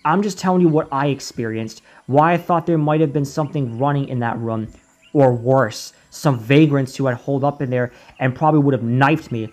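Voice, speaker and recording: A man reading a horror story aloud in a slow, deliberate way, now and then stumbling over a word and restarting it. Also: medium pitch, darkish tone. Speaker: narrator. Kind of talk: creepypasta reading.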